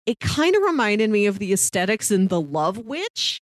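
A woman co-host in casual discussion, recorded dry with very little room sound. She speaks at a middle pitch for a woman and describes things thoughtfully, piece by piece. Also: very animated, moderate pace, no room sound. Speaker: film podcast co-host.

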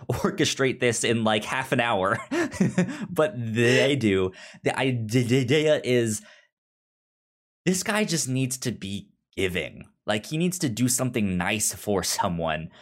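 A man chatting casually as a podcast co-host, retelling a story in a halting way and often repeating the starts of words. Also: medium pitch, animated. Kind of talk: casual chat, retelling a TV show episode.

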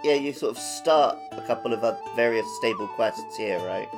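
A man's voice: medium pitch, normal speed, music in the background. A man co-hosting a gaming podcast in casual chat, with a dry, joking manner. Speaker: podcast co-host. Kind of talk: casual chat about a video game.

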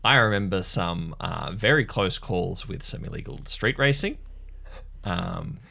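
Low creaky-voiced man playing a gentle, tentative counsellor. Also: expressive, unhurried, full character voice. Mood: gently probing. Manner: hesitant, tentative, feeling out words. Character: gentle counselling acquaintance, slightly awkward, thoughtful, kind. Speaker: improvised audio drama player. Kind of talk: improvised audio drama dialogue.

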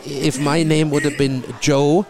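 A middle-aged man from Germany with a German accent, talking in a relaxed conversation and often repeating a word as he starts a thought. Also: on the high side, a little slow, some chatter behind. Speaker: guest. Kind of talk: casual conversation.

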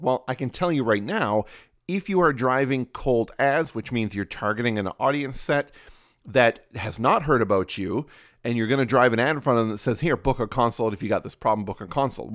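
A man's voice: mid-range voice, no room sound, studio quality. A man with a cold, sounding slightly nasal, hosts a solo podcast in a casual coaching manner with many fillers and restarts. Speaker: podcast host.

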